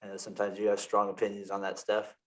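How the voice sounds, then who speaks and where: A man hosts a sports podcast in a loose, rambling way, full of fillers and self-corrections. His voice sits low. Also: completely flat delivery, quick pace, poor audio. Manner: rambling, many fillers and restarts. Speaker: podcast host. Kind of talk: casual sports podcast chat.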